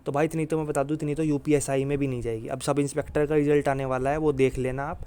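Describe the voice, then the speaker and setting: A man's voice: mid-range voice, steady tone, fast, mellow, smooth. A man giving informal exam guidance like a coach, repeating his points emphatically and often addressing listeners directly. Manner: repetitive, emphatic reassurance with frequent filler address.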